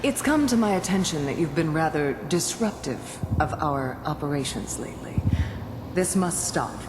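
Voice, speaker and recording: A cool, secretive female official for a video game. She is formal and commanding, low in pitch yet widely inflected. Her voice echoes through a large space. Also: animated, moderate pace, noisy background. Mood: cool authority.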